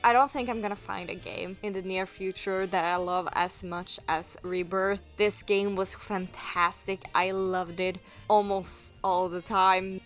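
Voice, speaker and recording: A woman's voice: medium pitch, fairly even intonation, measured pace, hardly any pauses, a bit bright, faint music behind. A young woman from Norway, a native Norwegian speaker with a Norwegian accent, giving chatty, candid commentary on her gameplay. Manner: chatty, candid, laughs easily. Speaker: gaming channel host.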